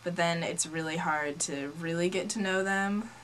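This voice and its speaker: A woman's voice: lowish voice, normal speed, fairly bright tone, a little hiss or hum. A nineteen-year-old woman from Norway, a native Norwegian speaker, answering interview questions in a casual way with lots of "like". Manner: casual, frequent "like" as filler.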